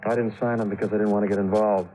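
Guy acting 30s studio engineer, lowish voice, casual n confiding, bit bitter, old radio drama. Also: little pitch movement, racing, mellow, faint music behind, lightly acted, low-quality recording. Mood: resentful, confiding.

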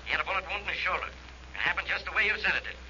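An actor playing a blustering, excitable American police inspector in 1940s radio drama, insistent and grudging. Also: male voice, high-pitched, very fast, exaggerated voice. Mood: excited, grudging. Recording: noisy, tinny old radio recording.

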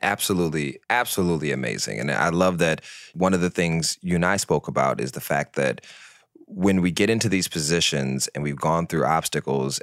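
A male host with a very deep voice, recorded dry and close. He affirms his guest warmly, then sets up long, carefully framed questions. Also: no room sound. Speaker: interview host. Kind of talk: interview conversation.

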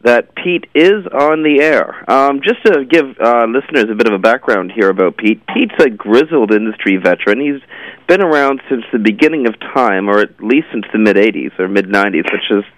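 A man's voice: mid-range voice, expressive, moderate pace. A man hosting a casual interview show, phrasing things hesitantly as he goes. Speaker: show host. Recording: slightly muffled, lo-fi radio audio.

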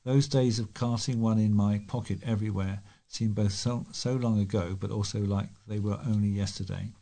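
A man's voice: fairly low pitch, steady tone, normal speed, creaky, warm tone. A man reading local newspaper articles aloud as a volunteer news reader, with the occasional stumble.

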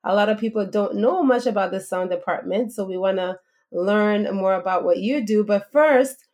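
A woman hosting a podcast, interviewing a guest in a slow, deliberate, conversational way. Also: medium pitch, darkish tone.

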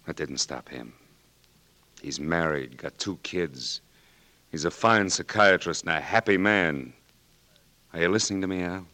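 An actor playing a patient military doctor who steadily reassures a blinded patient, in a 1940s radio drama. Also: male voice, low-pitched, fairly bright tone, no room sound. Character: calm, patient army eye doctor, firm but kind.

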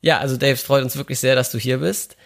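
A man's voice: medium pitch, fairly even intonation, quick pace, gravelly. A man hosting a podcast in a casual chat, hesitant and using many filler sounds. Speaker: podcast host.

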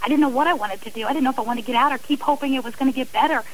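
A woman's voice: sits high, rapid-fire, poor audio. A middle-aged woman guest on a radio interview, speaking earnestly and from the heart about her own experience, often restarting her sentences. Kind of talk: radio interview, personal account.

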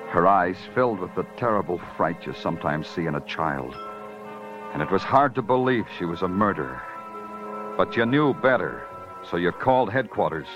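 Casting: hard-boiled American police detective, low swinging delivery, world-weary and terse, old radio noir. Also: male voice. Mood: brooding, weary. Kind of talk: 1950s radio crime drama acting and noir narration. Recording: dull vintage radio recording, music behind.

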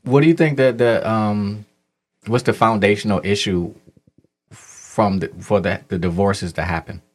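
Man, host, very low voice, curious, probing questions, casual interview. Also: a little slow.

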